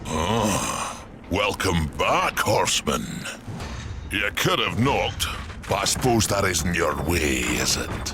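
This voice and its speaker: An actor playing a surly, sardonic old giant hermit blacksmith, in a video game cutscene. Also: male voice, low voice, big pitch swings, slow, music in the background, full character voice. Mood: wry. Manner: folksy, colloquial, sardonic growling.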